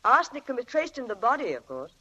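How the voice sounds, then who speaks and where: A self-assured woman crime writer from an old radio thriller. She is arch and teasing, with a fairly high voice that swings animatedly. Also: sing-song, fast talker, pushed and bright, breathy edge, heavily acted. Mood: brisk, dismissive. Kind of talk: vintage radio drama acting.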